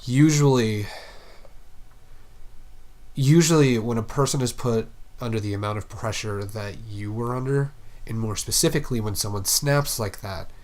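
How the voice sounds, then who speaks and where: A man playing a stern but regretful police detective in an audio drama, speaking in a deliberate, sober way. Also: medium pitch, darkish tone, close to an everyday voice. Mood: sober, reluctant.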